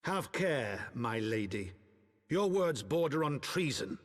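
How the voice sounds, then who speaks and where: A man's voice: medium pitch, very animated, slow pace. A man playing a stern, weary middle-aged noble lord and father in a fantasy game, grave and admonishing in formal archaic speech. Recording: long hall reverb.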